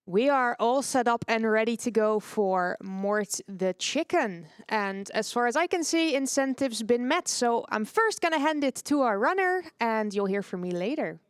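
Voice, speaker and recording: A woman's voice: a little high, animated, normal speed, hardly any pauses, clean tone, dry recording, studio quality. A woman hosting a gaming marathon, announcing and reading out donation messages. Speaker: speedrun marathon host.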